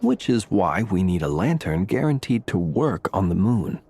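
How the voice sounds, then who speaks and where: An actor playing a polite, refined, calmly curious gentleman in a video game. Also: low voice, moderate pace, slight background noise. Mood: curious, courteous. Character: courteous, calmly intrigued gentleman. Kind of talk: video game character acting.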